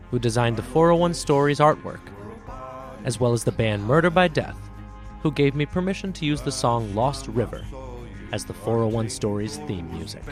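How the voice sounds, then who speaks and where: Male host-narrator for story podcast: reflective, sincere, very varied intonation, clear music bed. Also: medium pitch. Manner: earnest, reflective. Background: music under the voice.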